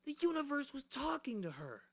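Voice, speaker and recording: An actor playing an earnest late-night radio host and protective older brother in a radio play. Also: male voice, very high-pitched, huge pitch swings, a little fast, a bit bright, exaggerated voice. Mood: upbeat, dreamy.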